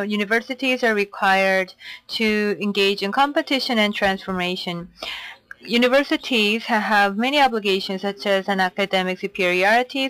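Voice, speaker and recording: A woman interpreting a conference talk into English, steady and formal, with frequent fillers. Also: medium pitch, clear, resonant tone, no echo, poor audio.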